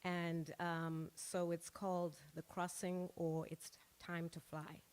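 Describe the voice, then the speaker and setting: A woman's voice: fairly low pitch, little pitch movement, slow pace, smooth. A woman from Zimbabwe whose first language is Shona, performing spoken word poetry at an open mic and leading a rhythmic chanted refrain. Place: small music club stage.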